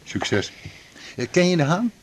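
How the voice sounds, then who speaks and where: A man's voice: on the high side, big pitch swings, unhurried, slight background noise. An actor playing a male colleague doctor, wry and chatty in a radio drama. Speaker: fellow doctor.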